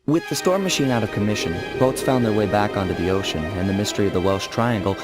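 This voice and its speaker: A man's voice: fairly low pitch, fast, music in the background, lightly acted. A man voicing a cool, confident young raccoon master thief in a cartoon video game, breezy and wisecracking. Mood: narrating.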